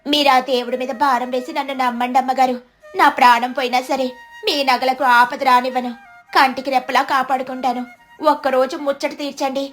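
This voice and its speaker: A woman's voice: high-pitched, flat delivery, fairly quick, a bit bright, soft background music. A woman voicing an eager, vain village wife in a dramatic storybook tale. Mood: pleading, grateful.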